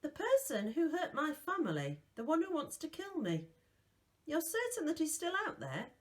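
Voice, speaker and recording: A woman reading aloud voicing an earnest young boy raised in a graveyard, talking eagerly and quickly in a raised voice. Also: sits high, huge pitch swings, pitched above the performer's own voice.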